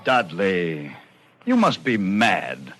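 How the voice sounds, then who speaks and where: A man acting a skeptical, nervous gentleman in a vintage radio drama, speaking in a hesitant, incredulous manner with a slightly husky voice. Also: sits low, huge pitch swings, extremely slow, soft background music, full character voice. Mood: incredulous, uneasy.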